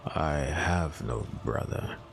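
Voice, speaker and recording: An actor playing a gaunt, bitter, murderous plague doctor in a dark fantasy audio drama, with a gravelly, menacing, drawn-out delivery. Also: male voice, very deep voice, noisy background, heavily acted. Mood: wary, hostile. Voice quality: gravelly, creaky.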